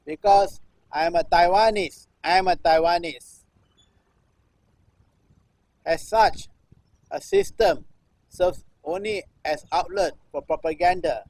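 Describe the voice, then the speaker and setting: A man recites a political text as performance art, in deliberate phrases with repeated, chant-like refrains. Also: on the high side, darkish tone, no echo, low-quality recording. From Singapore. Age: 60s. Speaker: performance artist.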